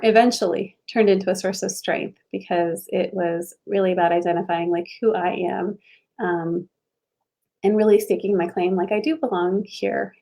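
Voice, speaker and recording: A woman's voice: medium pitch, darkish tone. Woman from Ohio, earnest and reflective, lots of ums and restarts, video call audio. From Ohio, USA. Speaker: school board candidate. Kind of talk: interview answer.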